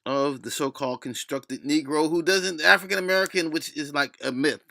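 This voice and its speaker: A middle-aged man with a lisp talks in a bright, forceful voice edged with gravelly creak. He rambles through a blunt video-blog rant, digressing, cursing and restarting his thoughts. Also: fairly high pitch, a little fast. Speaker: solo video blogger. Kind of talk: rambling opinion rant to camera.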